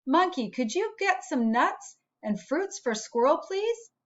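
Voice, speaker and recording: Woman voicing a gentle high-pitched little girl hedgehog. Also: sing-song, measured pace, highs cut off. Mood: caring. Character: young female hedgehog, gentle, self-doubting, caring.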